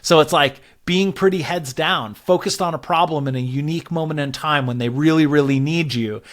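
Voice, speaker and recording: A man's voice: on the high side, a little fast. A man speaking as an interview guest, explaining advice in a casual, structured way with conversational filler words. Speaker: podcast guest, growth advisor.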